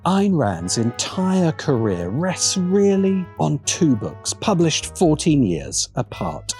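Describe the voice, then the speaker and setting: A man's voice: on the high side, huge pitch swings, slow, a little mellow. A man narrating a scripted video essay with wry, dramatic emphasis. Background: background music.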